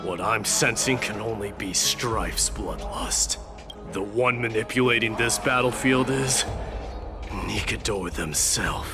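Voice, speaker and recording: An actor playing a proud, stern warrior prince in a video game, with a curt, brooding and measured delivery. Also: male voice, on the low side. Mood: grim, brooding. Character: stern, battle-hardened young warrior prince, proud and defiant. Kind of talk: dramatic video game dialogue. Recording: studio voice under game music.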